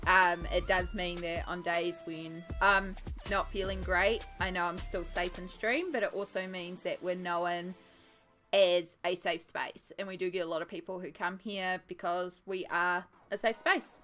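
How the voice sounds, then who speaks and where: A woman's voice: fairly low pitch, fairly even intonation, a little slow, sharp tone, soft background music. A young woman from New Zealand with a New Zealand accent, chatting casually and laughing as she reads out her live stream chat while colouring.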